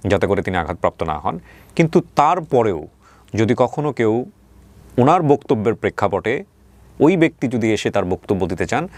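Low-pitched male talk show host, dry sound. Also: no echo. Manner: polite, formal, mixes English words into his talk. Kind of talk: interview hosting, addressing viewers.

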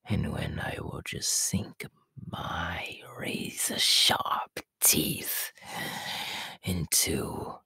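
A man voicing a sinister, taunting male snake villain in an ASMR roleplay, with a breathy, raspy whisper and slow, gloating delivery, close to the mic. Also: low voice, wildly swooping pitch, sharp tone, no echo, full character voice. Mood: possessive menace.

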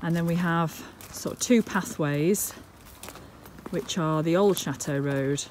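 A woman's voice: deep voice, slow pace, a little mellow, slight background noise. A woman, talking worriedly into a phone held at arm's length in a casual vlog. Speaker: vlogger. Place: outdoors in the countryside and indoors at home.

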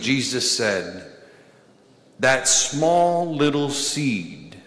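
A man in his thirties, a priest delivering a sermon from a pulpit, speaking with slow, deliberate emphasis and pauses. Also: medium pitch, a bit bright. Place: large church, at a pulpit. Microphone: pulpit microphone. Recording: echoey church with long reverb.

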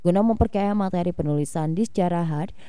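Very bright female news narrator. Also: on the low side, a little fast, clean tone, no room sound, studio quality. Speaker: news reporter. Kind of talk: scripted news voice-over.

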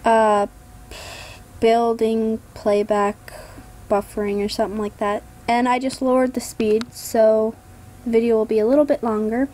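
Slow, hoarse young-sounding woman, hesitant. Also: a little high, little pitch movement. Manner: hesitant, many ums, trails off quietly. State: hoarse, getting sick. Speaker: gaming vlogger. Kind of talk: casual voiceover narrating gameplay.

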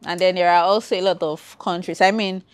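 A Nigerian woman with a Nigerian accent, a travel content creator giving advice as a podcast guest in a relaxed, chatty way and repeating points for emphasis. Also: fairly low pitch, brisk. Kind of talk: casual conversational interview.

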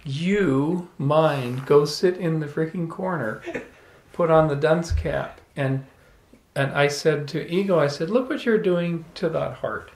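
A middle-aged man telling an animated, playful story to a small audience, holding a handheld microphone. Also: fairly high pitch, takes it slowly. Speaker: salon speaker. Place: room with small live audience. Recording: echoey room.

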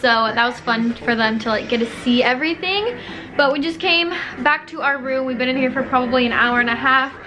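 A woman's voice: high-pitched, fairly quick, background noise. A young woman in her twenties chatting casually to a handheld camera, bubbly and rambling with lots of fillers. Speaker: vlogger. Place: hotel room and busy convention grounds. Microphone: handheld camera at arm's length.

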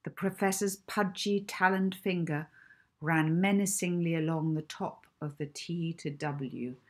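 A woman's voice: fairly low pitch, a little slow. A woman, narrating a children's novel expressively. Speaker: author reading own children's novel.

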